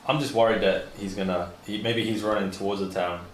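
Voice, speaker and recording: A fairly low male voice in a roomy space with faint background noise. He talks casually and a little tentatively as a player in a tabletop game. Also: steady tone, brisk. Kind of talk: casual chat.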